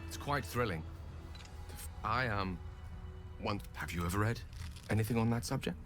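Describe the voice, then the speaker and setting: A man's voice: fairly low pitch, lively intonation, normal speed, music in the background, close to an everyday voice. An actor playing a polite, bookish man in a video game, eager but hesitant. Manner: hesitant, courteous. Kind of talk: video game character dialogue.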